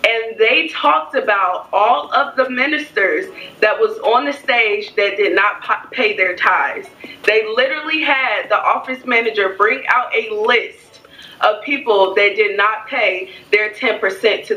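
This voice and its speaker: A woman's voice: on the high side, measured pace, bright, forceful tone, echoey room, distant mic, soft background music, low-quality recording. A young adult woman telling a personal story casually to camera, speaking emphatically and repeating phrases for stress. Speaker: vlogger. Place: home kitchen, indoors.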